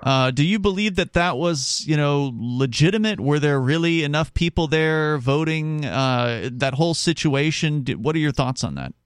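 A man hosting a call-in radio show, relaxed and questioning callers on a studio mic. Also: a little high, moderate pace, hardly any pauses, smooth, no room sound. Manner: relaxed, questioning, laughs easily.

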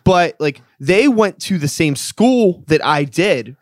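A man's voice: a little high, lively intonation, slow, dry recording, studio quality. A man in his late thirties from the Pittsburgh area, hosting a loose, casual interview with plenty of "like" and the odd swear. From Pittsburgh area, USA. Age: 30s. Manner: loose and chatty, heavy filler "like", swears casually. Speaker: podcast host.

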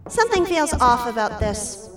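An actress playing a sharp, skeptical, pointed superheroine in a comic audio drama. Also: female voice, on the high side, sing-song, moderate pace. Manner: wary, pointed. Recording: roomy, distant, music behind.

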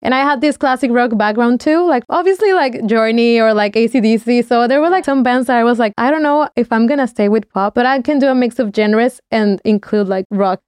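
A woman's voice: a little high, fairly quick, hardly any pauses, a little mellow, clean tone, no room sound, clean studio sound. A woman in her twenties whose first language is Spanish, chatting in a loose, filler-heavy way as a podcast co-host. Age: 20s. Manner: chatty, many "like" fillers, self-correcting. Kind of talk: casual chat.

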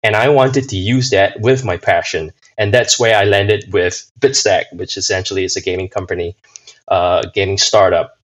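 A man's voice: low-pitched, fairly even intonation, normal speed. A man from Singapore, a podcast guest, answering casually with many fillers and restarts. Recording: dull lo-fi sound.

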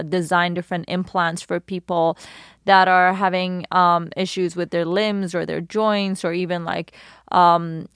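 Smooth low-voiced woman interview guest. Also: dry recording, studio quality. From Iran. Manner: expressive, fillers like "like". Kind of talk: casual interview.

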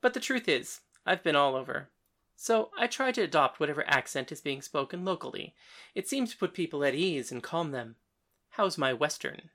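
Lady putting on a sly worldly guy at cards, very low, wry n amused, audiobook character. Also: expressive, normal speed, a bit bright. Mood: amused, suave. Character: sly, worldly man, smooth amused card player.

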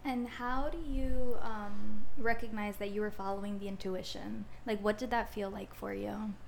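Flat-voiced woman podcast host. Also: medium pitch, moderate pace, creaky, slight background noise. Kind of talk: casual interview chat.

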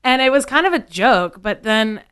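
A woman's voice: a little high, lively intonation, normal speed, fairly bright tone, clean tone. A woman of thirty-eight from California, a bartender chatting casually in an interview, with loose phrasing full of "like" and "um". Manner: chatty, loose, many fillers like "like" and "um". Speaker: bartender, guest.

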